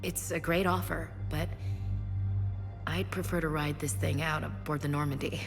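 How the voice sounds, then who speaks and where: An actress playing an earnest, loyal, troubled soldier in a sci-fi video game. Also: female voice, sits low, steady tone, moderate pace, vocal fry. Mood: resolved. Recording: long reverb under music.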